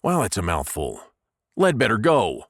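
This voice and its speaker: A man's voice: lowish voice, wildly swooping pitch, normal speed, no room sound, clean studio sound, full character voice. A man voicing an emphatic, sarcastic, commanding starship captain in a comic audiodrama. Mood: upbeat pitch.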